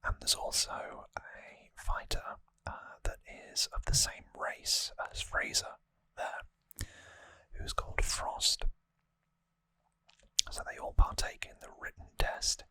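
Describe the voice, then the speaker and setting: An ASMR host speaking entirely in a breathy whisper close to the microphone, softly and informally explaining a story recap. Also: slow, no room sound, poor audio. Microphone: close microphone, dry sound.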